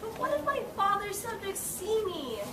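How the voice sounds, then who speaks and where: Young woman playing a haughty high-voiced puppet princess. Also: big pitch swings, normal speed. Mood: whiny, upset. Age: young adult. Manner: haughty, complaining. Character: proud haughty young princess, disdainful and whiny. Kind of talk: amateur puppet show acting. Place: library room puppet show. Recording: echoey room, distant mic, noisy.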